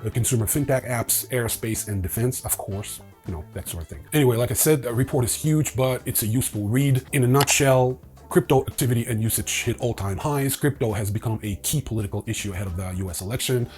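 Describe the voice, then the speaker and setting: A man's voice: mid-range voice, quick pace, hardly any pauses, a little mellow. Man hosting casual news over music. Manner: wry, opinionated asides. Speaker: host of a crypto news show. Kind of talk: casual news rundown with commentary.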